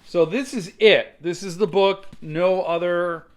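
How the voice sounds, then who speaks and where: A man with a somewhat high voice hosts a casual unboxing. He talks conversationally and gives his opinions plainly as he explains the details of what he is showing. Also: fairly even intonation, measured pace. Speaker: book collector reviewer, channel host.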